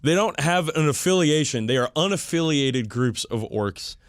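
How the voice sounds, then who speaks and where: A man's voice: on the high side, expressive, normal speed, no room sound, studio quality. A man hosting a podcast, explaining things in a casual, enthusiastic hobbyist way. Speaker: podcast host.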